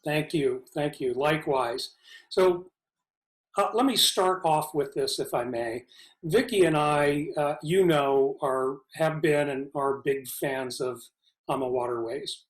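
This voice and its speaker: A man's voice: on the high side, takes it slowly. A man hosting a travel interview, polite and slightly hesitant, with frequent fillers. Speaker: travel show host. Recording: echoey room.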